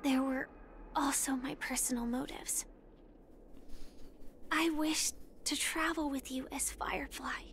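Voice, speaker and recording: A woman playing a gentle, earnest, secretive young woman in a video game, speaking in a soft, measured, breathy way. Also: high voice, fairly even intonation, a little hiss or hum. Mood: apologetic, tender. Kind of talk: dramatic video game voice acting. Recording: game audio with background music.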